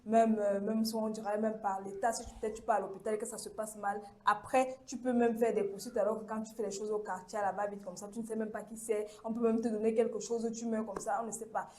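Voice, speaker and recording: A woman's voice: medium pitch, flat delivery, fast talker, few pauses, a little mellow. A woman hosting a campus talk show, steering the debate with questions and fillers. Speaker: host.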